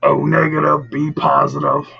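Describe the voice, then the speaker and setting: A man with a gravelly, raspy voice hosting a live storytelling show, chatting casually with viewers in a drawn-out, theatrical, teasing way. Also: a little high, monotone. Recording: dull lo-fi audio, music behind. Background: music.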